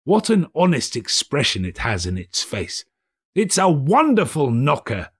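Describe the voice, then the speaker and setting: A man's voice: medium pitch, wildly swooping pitch, breathy edge, pitched above the performer's own voice, exaggerated voice. A man voicing an elderly reformed miser for an audiobook, giddy and gushing with delight, drawing words out in exclamations. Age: older. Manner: exclamatory, drawn-out, gushing delivery. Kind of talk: dramatised audiobook character voice.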